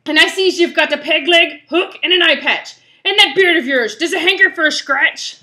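A woman's voice: very high voice, moderate pace, pushed and bright, voice pushed up. A woman voicing a cranky, blustery male pirate captain who bellows in pirate slang for a storybook. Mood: boastful. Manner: bellowing, rhyming pirate slang with bad grammar.

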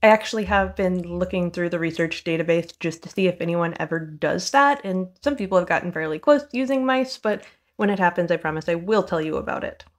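A woman in her thirties giving an informal science explainer to camera, matter-of-fact with wry, deadpan asides. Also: lowish voice, fairly quick.

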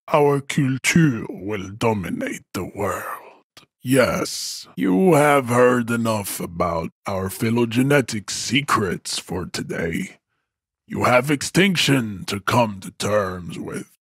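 Video game villain: imposing arrogant strongman, slow grandiose declamation with wide swings in pitch, contemptuous and formal. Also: animated, breathy edge, no room sound, studio quality, exaggerated voice. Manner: pompous, declamatory, formal lecturing delivery. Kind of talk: video game character acting.

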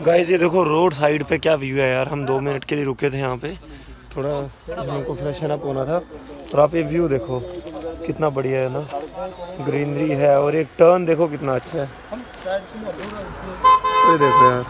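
A man's voice: a little high, fairly even intonation, normal speed, long pauses, a crowd in the background. A young man talking to viewers in a chatty, upbeat travel-vlog style while holding his phone at arm's length outdoors. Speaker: vlogger. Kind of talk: casual travel vlog narration. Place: outdoors by a roadside, cold evening. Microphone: phone held at arm's length.

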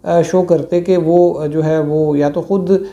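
A man, giving political commentary to camera in a small room on a lapel mic, measured and full of hesitations. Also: fairly high pitch, fairly even intonation, dark tone, lo-fi sound. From Pakistan. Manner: measured, many hesitations and fillers. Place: small indoor room with plain walls.

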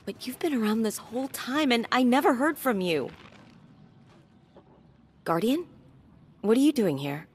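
An actress playing a defensive, hurt younger sister in a video game, speaking in a pointed, incredulous way. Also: female voice, a little high, animated, unhurried, pauses often, slight background noise. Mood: hurt, ashamed. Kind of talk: dramatic video game acting. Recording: game music underneath. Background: music.

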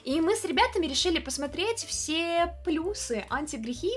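A woman's voice: very high voice, expressive, moderate pace. A young woman giving casual reaction commentary as a streamer. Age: young adult. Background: music from the video being watched.

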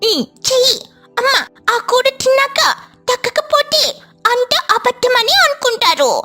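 A chirpy little child sparrow from a cartoon fable. The voice sits very high and childlike, eager to help and pleading with bright-eyed enthusiasm, over light background music. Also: female voice, lively intonation, unhurried, heavily acted. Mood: excited, urging.

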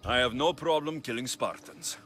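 An actor playing a blunt, skeptical Greek mercenary in video game dialogue, with a dry, challenging manner. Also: male voice, mid-range voice, moderate pace, a bit bright, slight background noise, natural voice. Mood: businesslike.

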